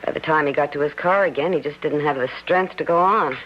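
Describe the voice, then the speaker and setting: An actress playing a sharp, scheming, domineering wife in a radio mystery drama. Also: female voice, deep voice, fast, natural voice. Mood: falsely sympathetic. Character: sharp, pushy, scheming wife covering up a secret. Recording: dull lo-fi radio recording with hiss.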